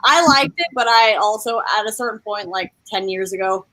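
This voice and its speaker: Chatty woman with a medium-pitched voice. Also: normal speed, pushed and bright, rough recording. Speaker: book discussion participant. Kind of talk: casual group chat about books and shows.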